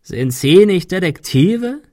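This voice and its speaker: A man voicing a menacing, bitter old gentleman with a hoarse, croaking voice and a haughty, mocking way of drawing out his words in an audiobook. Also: high-pitched, big pitch swings, no echo, clean studio sound, pitched above the performer's own voice, full character voice. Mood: scornful. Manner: haughty, drawn-out, mocking phrasing. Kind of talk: dramatic audiobook character voice.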